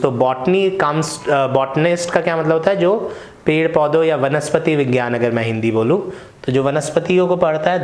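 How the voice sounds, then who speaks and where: A man's voice: a little high, roomy sound. A young adult man with an Indian English accent teaches vocabulary patiently, switching between Hindi and English and often asking "right?". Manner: explains patiently, asks rhetorical questions and checks in with "right?". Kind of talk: explanatory lesson mixing Hindi and English.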